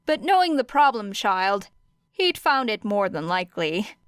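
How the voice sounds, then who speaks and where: A woman narrates an audiobook story at an unhurried pace. Her pitch is medium and the recording is very dry, with no room sound. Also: very animated, dry recording. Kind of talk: scripted fiction narration.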